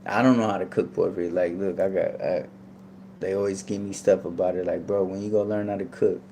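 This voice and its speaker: A man's voice: low voice, steady tone, fairly quick, mellow, a little hiss or hum. A man talking casually as a guest, laid-back and rambling with lots of fillers. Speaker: podcast guest. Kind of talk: casual storytelling.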